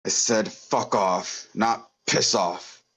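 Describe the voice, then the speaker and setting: A man playing a bitter, self-pitying crime boss with a gruff, brooding manner, in a script table read. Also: lowish voice, slow pace, fairly bright tone, exaggerated voice. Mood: menacing.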